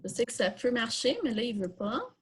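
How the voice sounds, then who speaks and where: A woman's voice: a little high, very animated, fairly quick, slightly rough. A woman with a Quebec French accent hosting a live online coding workshop, informally thinking aloud and answering questions as she demonstrates. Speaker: workshop host.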